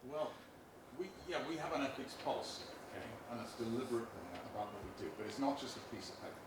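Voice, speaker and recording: A man in the audience of a conference, giving a measured answer from the floor. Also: mid-range voice, animated. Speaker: audience member. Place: conference hall. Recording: very echoey, far from the mic, hall noise.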